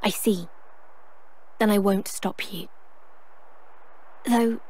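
A woman's voice: medium pitch, steady tone, extremely slow, darkish tone. A woman playing a perceptive, earnest young heroine in a video game cutscene, speaking thoughtfully. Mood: gentle, serious.

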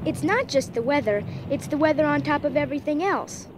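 Insecure, high-voiced teenage girl. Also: lively intonation, fairly quick, slight background noise. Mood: miserable. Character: insecure, troubled adolescent girl, earnest and self-doubting. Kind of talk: audio drama acting.

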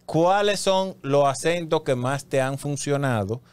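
A man in his thirties hosting a radio interview, asking questions and encouraging the guest with steady backchannel replies, speaking into a studio mic. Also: fairly high pitch, a little slow, clear, resonant tone, no echo. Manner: encouraging, frequent backchannel "ajá". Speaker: radio show host. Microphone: studio mic with headphones.

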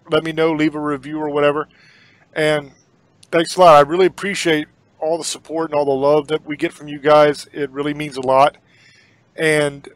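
A man's voice: fairly high pitch, fairly bright tone, no echo. Earnest rambling male podcast host. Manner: earnest, rambling, repeats words. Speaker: podcast host and horror author. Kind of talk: casual sign-off talk.

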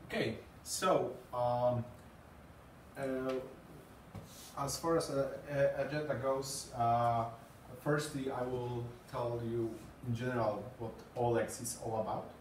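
A man's voice: medium pitch, fairly even intonation, takes it slowly, a little hiss or hum. Polish-accented man, echoey and distant. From Poland. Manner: hesitant, many fillers and repeated words. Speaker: conference speaker. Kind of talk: presentation talk. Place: small meeting room with green walls and a screen. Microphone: far camera, no mic seen. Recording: echoey room from far off.

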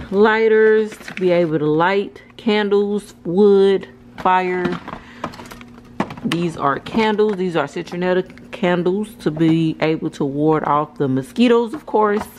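An American woman in her thirties casually showing off a shopping haul from behind a handheld camera at home. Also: low voice. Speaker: vlogger. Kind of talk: casual haul show-and-tell. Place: indoors at home. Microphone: handheld camera, speaker behind it. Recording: clear background noise and handling clicks.

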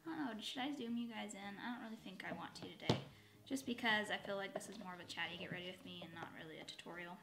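Woman, thirties, slightly raspy dry voice, rambling chatty get-ready-with-me talk, echoey bedroom. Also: mid-range voice, fast talker, music in the background. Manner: rambling, self-correcting, with asides. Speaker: beauty vlogger. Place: bedroom at home, indoors. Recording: echoey home room.

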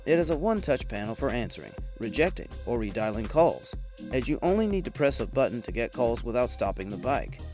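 A man delivers scripted narration for a product roundup. His voice sits at a medium pitch, and the read is measured and promotional. Music plays behind him. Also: darkish tone. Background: background music.

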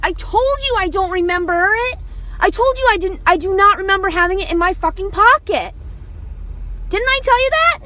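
A woman's voice: very high voice, animated, moderate pace, sharp tone. A woman chatting casually from a car's passenger seat, teasing and exasperated by turns. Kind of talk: casual chat.

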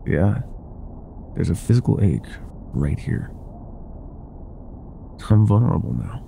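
A man playing an older ex-mafia boyfriend in an intimate ASMR roleplay, speaking softly and affectionately with some hesitation. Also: sits low, sing-song, takes it slowly, vocal fry, slight background noise, full character voice. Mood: vulnerable, wistful. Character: older ex-mafia boyfriend, tender, teasing, vulnerable bar owner.

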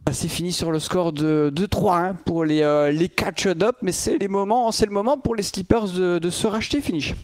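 A man co-casting an esports match, joking and halting, with frequent hesitations. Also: high-pitched, darkish tone, dry recording. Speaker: esports caster. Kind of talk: esports commentary.

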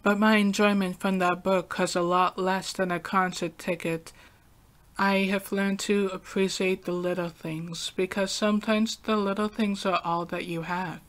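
A young adult woman hosting a podcast, talking through a calm, soft-spoken, reflective solo monologue. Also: medium pitch, unhurried. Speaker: podcast host.

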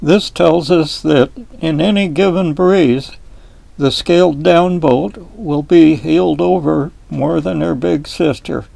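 An older man with a folksy, deliberate manner, explaining boat design in a wood-panelled cabin, filmed from a fixed camera across a table. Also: a little high, vocal fry, dark tone, dry recording. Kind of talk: explanatory video lesson.

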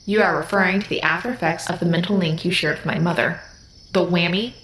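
A woman's voice: fairly low pitch, steady tone, fast, a bit bright, roomy sound, a little hiss or hum, close to an everyday voice, low-quality recording. A woman playing an emotionless, curious alien-human hybrid in an audio drama, speaking formally, precisely and very literally. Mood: detached, literal.

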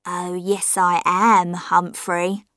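A woman's voice: on the low side, level tone, extremely slow, bright, forceful tone, no room sound, clean studio sound, voice pushed down, full character voice. A woman voicing a sly old woman who claims to be a man's mother, mocking and wheedling.

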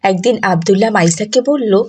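A woman's voice: medium pitch, moderate pace, a little mellow, breathy edge. A woman giving scripted narration for a children's moral story.

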